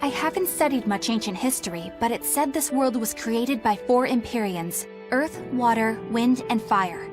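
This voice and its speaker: An analytical young woman, voiced by an actress, speaks in a fairly high voice. She sounds thoughtful and explanatory, in game cutscene style, over clearly audible music. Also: lively intonation, normal speed, hardly any pauses, lightly acted. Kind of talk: video game cutscene voice acting.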